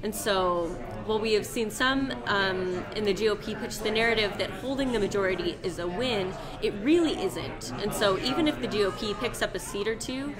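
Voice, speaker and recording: A woman in her twenties co-hosting a live election night stream, explaining politics in a relaxed, chatty way. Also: medium pitch. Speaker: co-host. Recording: noisy room with crowd chatter.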